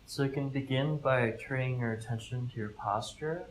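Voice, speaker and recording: A man leading a guided meditation, giving gentle, calm instructions in measured phrases with pauses between them. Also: medium pitch, poor audio. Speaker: mindfulness fellow, meditation guide. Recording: live recording with clear background noise.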